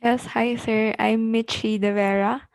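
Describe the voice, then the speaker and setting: A woman's voice: a little high, little pitch movement, a little slow, vocal fry, no echo. A female student from the Philippines introducing herself politely and eagerly.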